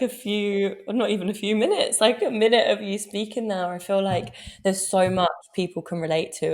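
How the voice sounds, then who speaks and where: A woman's voice: lowish voice, darkish tone. Bubbly woman hosting a podcast. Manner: bubbly and gushing, lots of praise and laughter. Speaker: podcast host.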